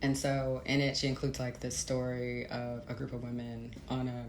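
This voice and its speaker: An American woman from the Midwest being interviewed as an author, explaining conversationally with hesitant pauses and hedges. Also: rumbling low, completely flat delivery, soft background music. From Midwestern United States. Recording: roomy echo, faint background noise.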